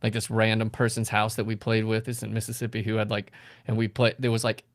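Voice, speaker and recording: Low-voiced man in his thirties, chatting casually. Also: monotone, fast, dry recording, clean studio sound. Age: 30s. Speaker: comedy stream host. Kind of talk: casual gaming chat.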